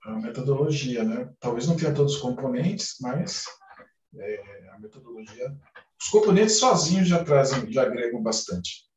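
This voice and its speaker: A man's voice: medium pitch, animated, moderate pace, a little mellow, distant mic. A man moderating a webinar on a video call, hesitant and full of fillers. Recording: roomy sound from off mic.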